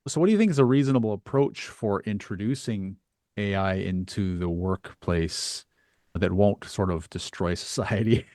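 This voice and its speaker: Male podcast host, low-pitched, dry close sound, conversational and discursive as he works through questions. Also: moderate pace, darkish tone, no echo. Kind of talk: conversational interview.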